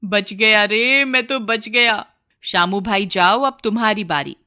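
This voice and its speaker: A woman's voice: mid-range voice, measured pace, bright, forceful tone. Woman doing a scared village guy in a moral tale, pleady and exclaiming. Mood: relieved. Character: frightened village man bargaining with God for his life. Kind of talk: moral storybook character voice.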